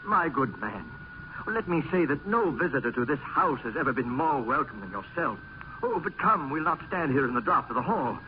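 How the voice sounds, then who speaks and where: Male actor as high-strung aristocrat, mid-pitched, theatrical courtly speech, gothic radio play, muffled and faintly noisy. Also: very animated, normal speed, lightly acted. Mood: effusive welcome. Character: nervous, courtly, ailing aristocrat in gloomy mansion. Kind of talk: old-time gothic radio drama acting.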